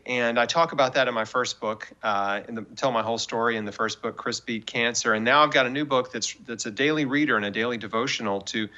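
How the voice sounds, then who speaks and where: Bright-voiced man, dull lo-fi audio. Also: mid-range voice. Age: 40s. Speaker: guest author and cancer survivor. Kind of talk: earnest TV interview talk with faith encouragement.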